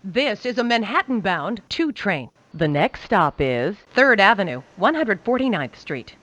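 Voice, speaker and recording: A woman giving recorded train announcements in a measured, formulaic announcer delivery. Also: medium pitch, very animated, a bit bright, no room sound. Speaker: transit announcer.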